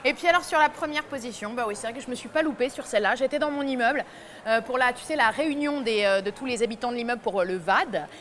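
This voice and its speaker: A young woman columnist on a talk show, telling funny stories in a lively way and laughing often. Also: fairly high pitch, quick pace. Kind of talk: comic chronicle on a talk show.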